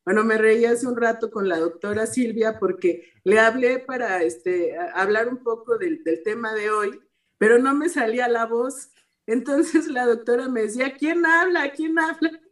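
A woman speaking as a panel guest in a conversational way, her voice hoarse as it recovers from being lost. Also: a little high, normal speed.

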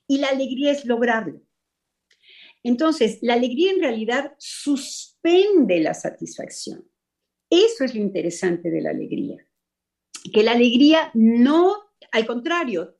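A woman whose first language is not Spanish, speaking slowly and reflectively with many fillers and pauses. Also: on the high side, gravelly, darkish tone. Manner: hesitant, many fillers, slow thoughtful pauses. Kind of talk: reflective spoken explanation.

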